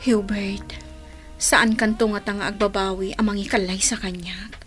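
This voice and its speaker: A woman playing an anxious, tender young bride-to-be in a romantic radio drama, breathy and pleading. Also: mid-range voice, fairly even intonation, normal speed, faint music behind, natural voice. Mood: tender, yielding.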